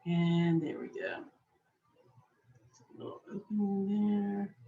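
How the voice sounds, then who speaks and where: Slow, patient woman teaching a craft. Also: mid-range voice, little pitch movement, vocal fry, phone-quality sound. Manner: patient, chatty, talks while working with her hands. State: slightly hoarse. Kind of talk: slow step-by-step craft demonstration.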